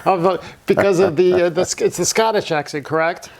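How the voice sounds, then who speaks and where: A man's voice: high voice, fairly quick, gravelly. An American man hosting a casual, conversational interview. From United States. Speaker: interviewer, host.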